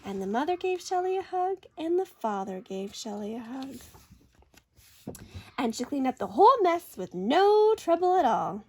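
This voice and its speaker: High, animated woman reading a picture book. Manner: animated, deliberate, dramatic. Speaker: story reader. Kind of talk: expressive children's picture-book read-aloud.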